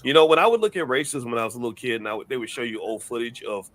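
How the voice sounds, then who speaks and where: A man hosting a news commentary show. He talks in an animated, rambling way and often repeats words. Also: mid-range voice, rapid-fire, slight background noise. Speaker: host.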